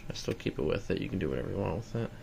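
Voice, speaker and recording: A man's voice: low-pitched, animated, racing, gravelly, a little mellow. A man giving a casual, matter-of-fact walkthrough of equipment he is selling, plain-spoken, in a noisy home-video recording. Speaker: seller demonstrating equipment.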